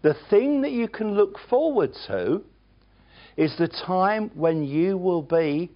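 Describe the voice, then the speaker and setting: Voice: medium pitch, very animated, takes it slowly, dull audio. A preacher delivering a sermon, emphatic and earnest, with chatty, self-deprecating asides to the congregation.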